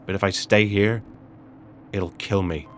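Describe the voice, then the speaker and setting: A man's voice: on the low side, fairly even intonation, unhurried, a little hiss or hum, lightly acted. Man acting a weary, subdued, apologetic young man. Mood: miserable, weary. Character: weary, conflict-averse young man, apologetic but firm friend. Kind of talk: dramatic dialogue acting.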